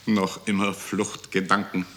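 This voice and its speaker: An actor playing a cold, mocking bureaucrat in a 1960s German radio drama, giving condescending, matter-of-fact instructions. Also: male voice, low-pitched, monotone, a little slow, pushed and bright. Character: cold, mocking male bureaucrat of a deportation office. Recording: 1960s radio studio recording.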